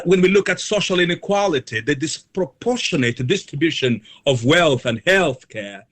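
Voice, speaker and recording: A man speaking as a guest in a radio interview, answering in a formal, measured and wordy manner. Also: fairly high pitch, a bit bright.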